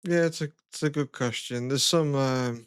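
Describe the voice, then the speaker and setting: A man, casually chatting as a podcast co-host, relaxed and swearing freely, with a low throaty voice. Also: normal speed, clean tone, no echo, studio quality. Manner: laid-back, swears a lot, lots of "you know what I mean". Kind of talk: casual chat.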